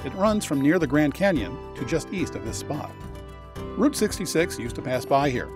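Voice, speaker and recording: A man narrating a road trip travel video in a measured, informative tour-guide manner. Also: a little high, sing-song. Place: voice-over, not on location. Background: guitar music.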